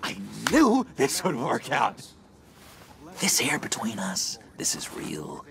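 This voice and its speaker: An actor playing an eager, unhinged young schemer in a video game, halting and wheedling with sinister warmth. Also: male voice, medium pitch, wildly swooping pitch, creaky, full character voice. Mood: gleeful. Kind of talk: video game character acting.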